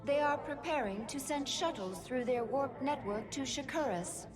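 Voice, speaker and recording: Woman voicing a high-pitched, deferential alien advisor. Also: music in the background, natural voice. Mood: dutiful, informative. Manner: formal, deferential, measured. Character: dutiful female alien advisor serving her queen. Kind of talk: video game voice acting.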